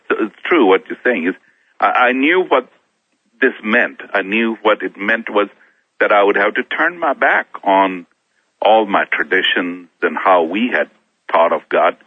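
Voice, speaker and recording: A middle-aged man from Kenya, a guest on a talk show, telling his own story in a halting way, often restarting his words. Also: fairly low pitch, animated, husky, dry recording. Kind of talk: personal testimony in a talk show interview. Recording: thin phone-like sound, dry.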